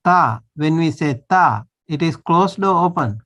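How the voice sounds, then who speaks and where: A man teaches a class as a monk over a video call. His voice sits a little high and he speaks slowly and deliberately. He stops often to check that his students follow. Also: expressive, a bit bright. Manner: patient, checks understanding often. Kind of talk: online language class.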